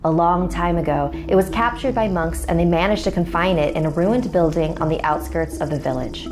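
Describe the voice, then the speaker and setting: A woman's voice: on the low side, a little fast, noisy background. A woman narrating a scripted first-person horror story as a storyteller. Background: music.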